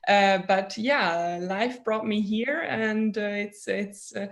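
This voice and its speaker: A woman's voice: mid-range voice, slow. Italian-accented woman lawyer on a video call. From Italy. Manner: warm, enthusiastic alumni talk. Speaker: patent litigation lawyer.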